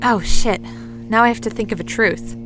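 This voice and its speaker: A woman's voice: a little high, moderate pace, music in the background, natural voice, low-quality recording. A woman playing a playful, theatrical young woman in an audio drama, showy and mischievous like a mock announcer. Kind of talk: lively audio drama dialogue.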